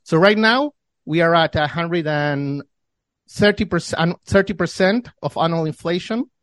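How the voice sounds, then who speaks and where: A man's voice: fairly high pitch, measured pace, fairly bright tone, dry recording. Argentine man explaining in a dry close sound. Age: 30s. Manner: explanatory, with restarts and repeated words. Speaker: guest, financial newsletter founder. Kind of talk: podcast interview.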